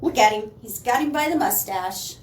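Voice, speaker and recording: A woman reads a picture book to children as a library storyteller. Her voice is high and swoops expressively, and it sounds distant in an echoey room. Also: normal speed. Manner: expressive, asks listeners playful questions. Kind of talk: animated children's picture-book read-aloud. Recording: echoey room, distant mic, faint noise.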